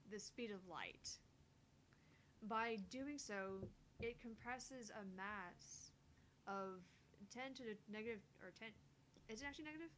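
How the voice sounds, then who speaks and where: A woman's voice: measured pace, fairly bright tone. A woman reading game dialogue aloud as a co-host, stumbling over words now and then and adding casual asides. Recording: clearly noisy with low rumble.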